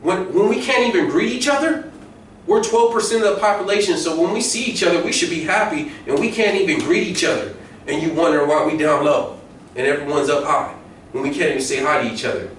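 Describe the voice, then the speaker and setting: A man's voice: on the high side, brisk, fairly bright tone. An American man preaching an informal sermon, reading scripture and commenting on it in an emphatic, colloquial way with repeated phrases. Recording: very echoey room, distant mic, faint noise.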